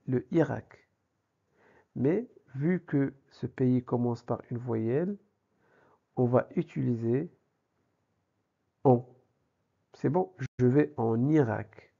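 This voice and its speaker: A man's voice: medium pitch, vocal fry, mellow, slightly rough. A man teaching a language lesson in a didactic way, posing questions and answering them himself, in a slightly dull recording. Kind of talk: grammar lesson with examples.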